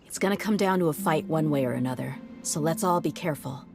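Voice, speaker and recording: A woman's voice: low voice, quick pace, creaky. A woman acting a blunt, confident young female mercenary in a video game, with an easygoing, teasing way of talking. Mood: focused, determined. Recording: music behind the voice.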